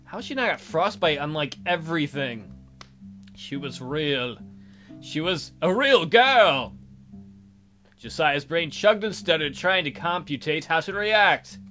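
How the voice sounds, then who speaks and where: A man's voice: fairly high pitch, animated, slow, sharp tone, soft background music. A man hosting a let's play, reading game text aloud expressively and adding casual jokey commentary.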